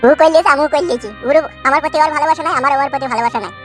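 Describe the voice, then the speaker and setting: A jilted village husband , voiced by a performer, wails through his heartbreak at a breakneck clip. The voice sits high and squeaky for a man, and he piles image on image in long laments. A cartoon voice over a soft music bed. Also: rapid-fire. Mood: aggrieved. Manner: long wailing complaints full of florid images. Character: jilted young village husband, self-pitying and dramatic. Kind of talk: cartoon dub acting.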